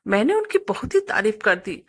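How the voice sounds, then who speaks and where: A woman's voice: medium pitch, big pitch swings, moderate pace, husky. A woman reading a scripted first-person story as a narrator.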